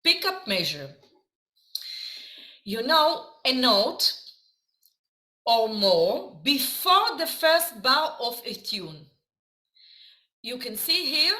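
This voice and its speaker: A woman with an Israeli accent teaching a dance class, explaining counts slowly and haltingly. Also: sits high, sharp tone. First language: Hebrew. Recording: echoey room.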